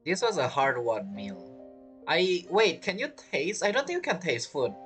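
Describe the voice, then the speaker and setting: A woman giving casual gameplay commentary, reacting and thinking out loud as she streams. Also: sits low, big pitch swings, moderate pace, faint music behind. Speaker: game streamer.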